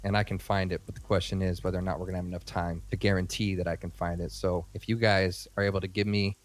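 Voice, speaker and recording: A man speaks in a deep register as he role-plays at a tabletop game. His delivery is casual, measured and low-key. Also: level tone. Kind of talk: casual improvised role-play.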